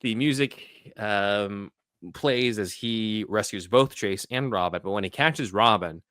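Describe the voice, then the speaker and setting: A man hosting a podcast, giving casual scene-by-scene film commentary in a halting, conversational, explanatory way. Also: on the low side, fairly bright tone, smooth, no echo, clean studio sound. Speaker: podcast host.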